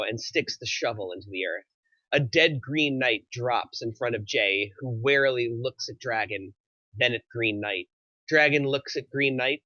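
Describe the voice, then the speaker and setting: A man reads stage directions as narrator of a script table read. His voice is medium-pitched with a bright, crisp edge. The recording is dry and a little dull. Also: no echo.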